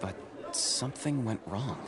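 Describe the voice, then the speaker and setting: An actor playing a stern, cool-headed, disdainful man in a video game, speaking in a measured, curt way. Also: lowish voice, expressive, a crowd in the background. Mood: grave. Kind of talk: English video game voice acting.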